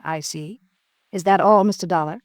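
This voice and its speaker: A woman's voice: lowish voice, moderate pace, dry recording, close to an everyday voice. Woman acting a brittle radio-drama widow, close and dry. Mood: defensive, resentful. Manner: brittle, defensive, hesitant. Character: guarded, grieving young widow, former chorus dancer.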